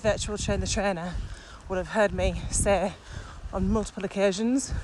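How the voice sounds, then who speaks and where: A woman's voice: mid-range voice, steady tone, normal speed. A British woman co-hosting a podcast in casual conversation, recorded outdoors while walking. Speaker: podcast co-host, corporate trainer. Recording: outdoor recording with clear traffic noise.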